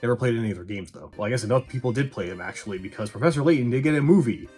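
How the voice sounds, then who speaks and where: A man's voice: medium pitch, expressive, rapid-fire, a little mellow. Blunt, sweary man over background music. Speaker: video essay host and reviewer. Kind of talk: casual movie review commentary. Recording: music behind the voice.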